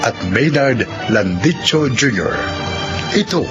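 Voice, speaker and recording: A man hosting a radio drama, announcing in a measured, theatrical and formal manner. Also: medium pitch, very animated. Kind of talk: dramatic radio narration and credit reading. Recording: dull radio sound under loud music.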